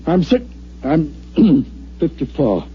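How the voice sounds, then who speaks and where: A cranky veteran newspaperman with a high, wisecracking voice and theatrical sarcasm. It is vintage radio drama, dulled in tone with faint hiss behind it. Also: big pitch swings, takes it slowly. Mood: defiant. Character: cranky, sarcastic, beaten-down veteran newspaper city editor, fifty-four. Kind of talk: 1950s radio drama acting. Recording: muffled old radio recording with hiss.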